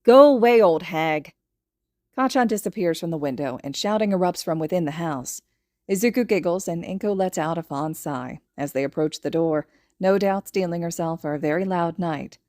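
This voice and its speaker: A woman's voice: fairly low pitch, fairly quick, a little mellow, no echo, clean studio sound. A woman reading a story aloud as a scripted podfic narration.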